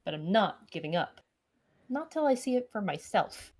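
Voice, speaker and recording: A woman's voice: medium pitch, very animated, moderate pace, a little mellow, husky. Stubborn, casual female searcher for visual novel, read by a woman; mid-range, conversational, determined. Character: determined, casual woman hunting for a mysterious florist.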